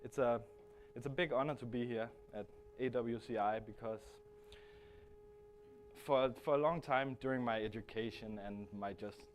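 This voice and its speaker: A man in his thirties from Denmark speaks with a Danish accent, at an ordinary middle pitch. He presents to a room, stopping and restarting his phrases as he searches for words. A faint hum sits under the recording. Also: normal speed. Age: 30s. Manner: hesitant, frequent restarts and fillers. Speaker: guest speaker. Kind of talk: conference presentation.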